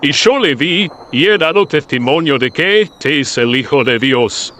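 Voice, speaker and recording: Casting: male actor as a fervent desert prophet for scripture audio drama, higher-set voice, measured and emphatic. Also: expressive, pushed and bright, a little hiss or hum. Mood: fervent testimony. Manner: slow, solemn, emphatic proclaiming. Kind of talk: Bible radio play acting.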